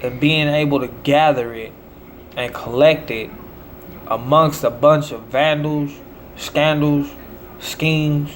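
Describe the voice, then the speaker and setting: A somewhat high-voiced American man talks in a rambling monologue. He breaks his thoughts into short, declamatory phrases like a street preacher, over a clear, steady hum of room noise. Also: slow. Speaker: self-promoting musician.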